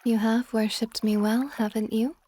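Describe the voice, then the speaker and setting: A woman voicing an ancient, possessive but tender water dragon goddess in a soft, intimate ASMR romance roleplay, often slipping toward a whisper. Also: fairly high pitch, fairly even intonation, normal speed, close to an everyday voice. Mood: possessive, coaxing.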